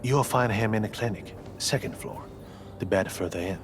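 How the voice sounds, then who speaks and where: An actor playing a weary, dry, self-deprecating field surgeon in a video game. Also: male voice, on the low side, normal speed, lightly acted. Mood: cautioning. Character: weary, frank male field surgeon in charge. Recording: clear music behind the voice.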